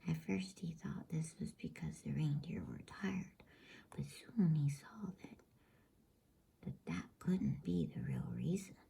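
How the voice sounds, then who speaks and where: A woman reading a novel aloud as a bedtime story in a hushed, unvoiced ASMR whisper. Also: sits low, wildly swooping pitch, a little slow, vocal fry, slightly rough.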